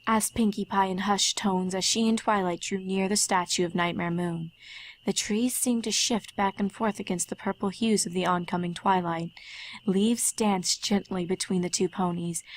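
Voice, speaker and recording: A woman reading scripted fan fiction as the narrator, in a measured storytelling manner. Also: mid-range voice, steady tone, low-quality recording. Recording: faint music under narration.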